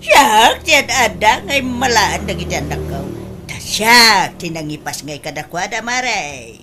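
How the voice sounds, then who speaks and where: A woman with an Ilocano accent playing a bossy, middle-aged mother in a comedy radio drama, with emphatic, drawn-out exclamations. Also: mid-range voice, sing-song, crowd noise. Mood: boastful gossip. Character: bossy, excitable mother of grown daughters.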